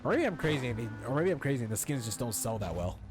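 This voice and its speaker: A man's voice: mid-range voice, big pitch swings, racing. A young man casually commentating on a game, slangy and rambling, often repeating himself. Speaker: game streamer. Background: game music.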